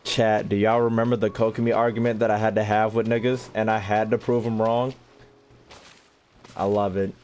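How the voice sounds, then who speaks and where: A man's voice: on the low side, flat delivery, moderate pace, a little mellow. A young man giving casual live commentary on a game as he streams, slangy and jokey. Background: faint game music.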